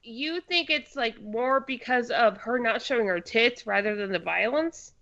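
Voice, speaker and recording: A woman's voice: fairly high pitch, measured pace, creaky, a bit bright. A woman co-hosting a casual movie discussion, blunt and argumentative, on slightly muffled audio. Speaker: podcast co-host.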